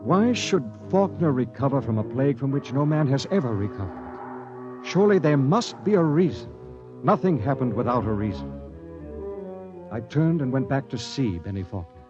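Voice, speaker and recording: A man plays a grim captain who narrates an old radio play, earnest and pressing. His voice sits at a middle pitch, and faint music plays under a dull, lo-fi broadcast sound. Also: lively intonation, moderate pace, lightly acted. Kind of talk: 1950s radio drama narration.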